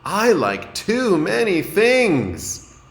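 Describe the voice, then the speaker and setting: Man acting young storybook turtle boy, raised pitch, indecisive, childlike, slow drawn-out words, echoey room. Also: wildly swooping pitch, exaggerated voice. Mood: unsure, glum. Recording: roomy echo, noticeable background noise.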